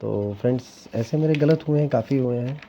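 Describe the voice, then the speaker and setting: Gravelly, dark-voiced young man, lo-fi. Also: medium pitch, brisk, slight background noise. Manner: rambling, restarts often, sounds regretful. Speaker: teacher exam candidate sharing his scores. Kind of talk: casual vlog talk to viewers. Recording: dull lo-fi sound with faint ambience.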